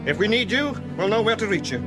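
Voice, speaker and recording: An actor with a British accent playing a formal, brisk and dismissive police official in a horror audio drama. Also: male voice, high voice, animated. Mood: dismissive, firm. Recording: loud music behind the voice.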